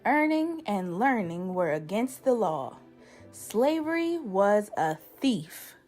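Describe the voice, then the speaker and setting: A woman reader plays a proud, solemn old great-grandmother telling tales in a storybook. Her speech is slow and rhythmic, with emphatic swings in pitch. Soft music sits underneath. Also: very animated.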